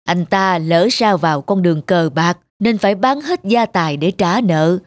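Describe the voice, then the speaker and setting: A woman voicing a kindly, calm older male antique collector in a moral folk-tale cartoon, measured and gently instructive. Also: lowish voice, dry recording, natural voice. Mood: modest, kindly.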